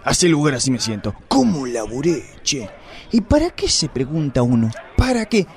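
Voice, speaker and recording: Gravelly sleazy inspector with a Rioplatense accent, blustering and vulgar, a man acting him. community radio drama. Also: fairly high pitch, very animated, moderate pace. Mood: ranting. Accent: Rioplatense Spanish. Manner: blustering, melodramatic, vulgar outbursts. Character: crude boastful corrupt male police inspector.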